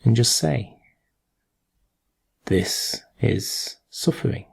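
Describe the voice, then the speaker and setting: A man leading a guided meditation in gentle instruction, unhurried, leaving long pauses between short phrases. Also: on the low side, animated, vocal fry, breathy edge.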